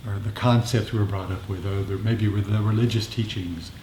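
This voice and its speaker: A low, mellow male voice teaching a meditation group. He explains reflectively, circling back over his phrases. The room is echoey. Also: fairly even intonation, brisk. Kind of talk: informal dharma discussion and meditation guidance. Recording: echoey room, faint background noise.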